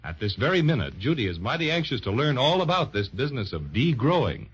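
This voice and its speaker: A man narrating a children's radio serial, his husky voice rising and falling in lively storytelling. The sound is a dull 1930s radio transcription, heard a little distant. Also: mid-range voice, fast talker.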